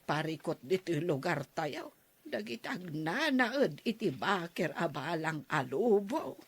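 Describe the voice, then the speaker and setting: Slow, husky older Filipino mother played by a woman. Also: on the low side, very animated, heavily acted. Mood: concerned. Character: concerned older village mother. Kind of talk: audio drama acting.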